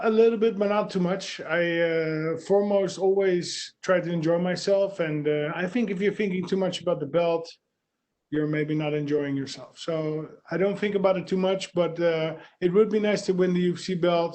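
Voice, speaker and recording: Dutch guy, fighter at a press day, bit high pitched, short plain answers with fillers. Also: steady tone, normal speed, darkish tone. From Netherlands.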